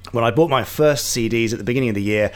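A man's voice: mid-range voice, quick pace, faint music behind. A man co-hosting a podcast, reading a listener's letter in a playful, giggly way. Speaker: podcast co-host.

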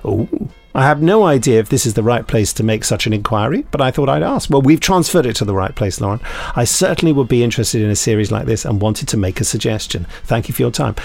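A British man with a gravelly, creaky voice at an ordinary male pitch co-hosts a casual podcast. He reads listener emails aloud in a jokey, digressive way, often breaking off mid-sentence to add asides. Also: darkish tone. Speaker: podcast co-host.

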